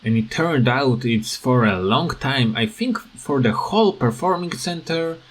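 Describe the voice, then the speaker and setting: A man records loose, off-the-cuff game commentary at a markedly slow pace. His voice sits at an average male pitch. He speaks haltingly, often searching for the next word as he rambles on. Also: expressive. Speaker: gaming video creator.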